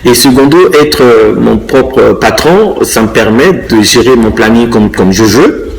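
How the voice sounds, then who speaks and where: A man in his fifties from Congo, answering interview questions thoughtfully and pausing often to find his words. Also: medium pitch, rough recording. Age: 50s. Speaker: interviewee, dancer and choreographer.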